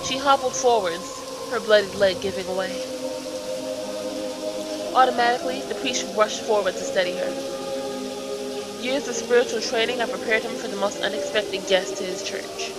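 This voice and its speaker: A woman's voice: mid-range voice, moderate pace, pauses often, over loud music. A woman reading a visual novel aloud as narrator, in a storytelling style. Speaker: narrator reading game text. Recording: very noisy home recording.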